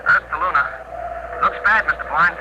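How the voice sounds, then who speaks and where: An actor playing a brisk, businesslike Earth mission controller over a radio link, in 1950s radio drama style. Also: male voice, on the high side. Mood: brisk, grave. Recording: muffled radio voice under loud noise.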